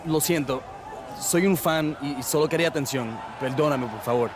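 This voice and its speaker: An apologetic, pleading male fan , voiced by an actor, in a video game scene. His voice is a little high, and there is clear noise around him. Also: a little fast, a crowd in the background, close to an everyday voice. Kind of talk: video game cutscene acting. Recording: clearly noisy outdoor-sounding background.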